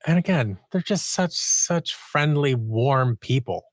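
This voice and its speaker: A man's voice: medium pitch, lively intonation, slow, dry recording. Man chatting casually as an interview guest. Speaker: guest, audio drama writer, producer and actor.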